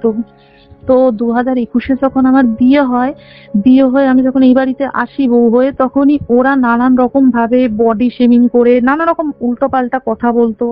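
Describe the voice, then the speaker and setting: Young woman caller, high voice, telling her story in detail, muffled call audio. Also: flat delivery, brisk. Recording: dull band-limited phone call, faint music. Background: faint background music.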